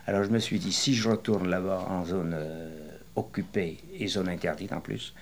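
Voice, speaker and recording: Deep-voiced man in his sixties reminiscing. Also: fairly even intonation. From western France. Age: 60s. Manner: rambling, hesitant storytelling with many pauses. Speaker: retired interviewee. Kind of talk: oral history interview.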